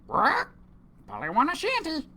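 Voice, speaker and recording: A woman's voice: sits high, huge pitch swings, a little slow, full character voice. A woman voicing a demanding pet parrot that squawks and repeats its phrases. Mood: squawky. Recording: loud background noise.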